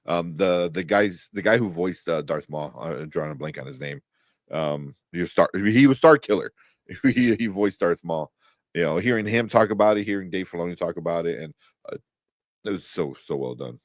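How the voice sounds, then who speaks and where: A man's voice: sits low, lively intonation, moderate pace, no echo, clean studio sound. A man hosting a casual live pop-culture chat, rambling enthusiastically and often searching for names. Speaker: host of a pop-culture review show.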